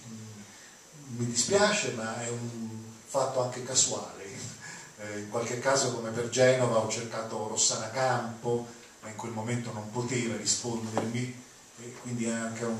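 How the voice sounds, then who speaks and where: An older man from Rome giving an informal lecture, conversational and digressive, seated on stage with a handheld mic. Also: mid-range voice. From Rome, Italy. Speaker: lecturer introducing readings. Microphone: handheld mic, recorded from audience. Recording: echoey hall, distant mic, noisy.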